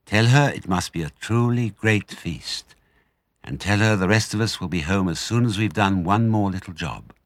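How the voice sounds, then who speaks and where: A man's voice: lowish voice, normal speed, dry recording, natural voice. A man voicing a cunning, generous fox father in an audiobook, speaking in a theatrical, coaxing, self-assured way. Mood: delighted, generous. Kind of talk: audiobook character reading.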